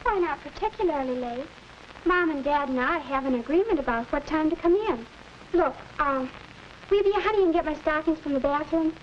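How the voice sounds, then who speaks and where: An actress playing a cheerful, sensible teenage big sister in a 1940s educational film. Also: female voice, high voice, expressive, fairly quick. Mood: chatty, sensible. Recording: muffled, noisy 1940s film soundtrack.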